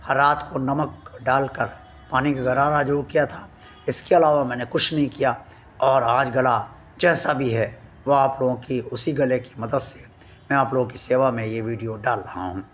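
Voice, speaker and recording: A man hosting a devotional channel, speaking to his viewers slowly and earnestly, his voice still weak from a sore throat. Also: mid-range voice, steady tone, a bit bright, soft background music. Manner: slow, earnest and devout, addressing viewers formally. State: recovering from a sore throat, voice not at full strength.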